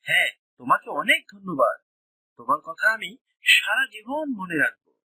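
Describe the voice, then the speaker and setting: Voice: medium pitch, big pitch swings, normal speed, pushed and bright, slightly rough, no echo, full character voice, poor audio. A performer voicing a grateful, friendly village man in a children's cartoon, earnest and humble. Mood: relieved, grateful.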